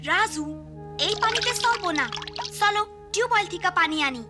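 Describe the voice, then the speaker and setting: A woman's voice: very high voice, expressive, normal speed, music in the background. A woman voicing an earnest, determined village girl in an educational cartoon, emphatic and instructive, with a Bangladeshi accent.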